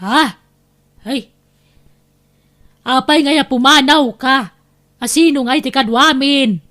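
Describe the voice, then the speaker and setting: A woman's voice: high voice, takes it slowly, fairly bright tone. A woman playing a sly, scolding aunt in an audio drama, with an Ilocano accent. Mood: scolding, startled.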